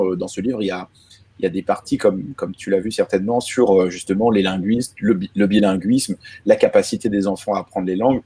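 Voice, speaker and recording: A man in his late thirties, from Lebanon, speaks with a mellow, husky voice that sits a little low. He answers questions over a video call at an even, reflective pace. He explains his points one by one. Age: 30s. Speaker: author guest. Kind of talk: conversational interview answers. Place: home in the evening, remote.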